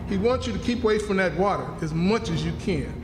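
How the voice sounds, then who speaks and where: An actor playing a kindly, superstitious slave fortune-teller who speaks solemnly in repetitive, incantatory folk dialect for radio drama. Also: male voice, high-pitched, expressive, fast. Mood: prophesying. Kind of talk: live old-time radio drama acting. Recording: echoey hall, live stage performance. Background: music under the voice.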